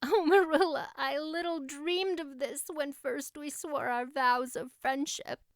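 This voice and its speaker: A woman's voice: high voice, steady tone, unhurried, no room sound, studio quality, voice pushed up. A woman voicing an earnest, melodramatic young girl in an audiobook, effusive and plaintive in manner. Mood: despairing. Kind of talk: audiobook character acting.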